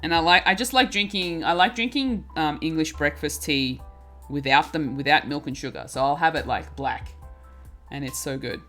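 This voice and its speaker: Young woman, low voice, easygoing chatty streamer who laughs a lot and trips over her words; faint music underneath. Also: normal speed, a bit bright. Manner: chatty, giggly, repeats and restarts words. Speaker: craft streamer. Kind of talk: casual chat while crafting, reading chat.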